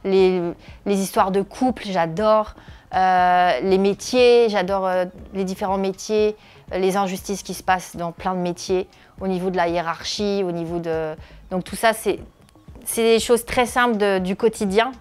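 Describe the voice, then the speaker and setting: A woman's voice: medium pitch, fairly even intonation, moderate pace, darkish tone, clear, resonant tone. A woman in her thirties from northeastern France, a comedian answering interview questions, hesitant with many filler sounds. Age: 30s. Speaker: comedian and video creator.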